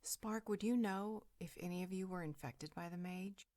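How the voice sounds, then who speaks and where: A woman playing a calm, motherly, protective character in a roleplay audio drama, measured and inquisitive. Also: lowish voice, natural voice. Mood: calm, probing.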